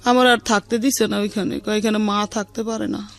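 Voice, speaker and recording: A woman's voice: medium pitch, little pitch movement, a little fast. Middle-aged Bangladeshi woman, colloquial dialect. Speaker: interview guest, mother. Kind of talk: personal account in a radio interview. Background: faint music.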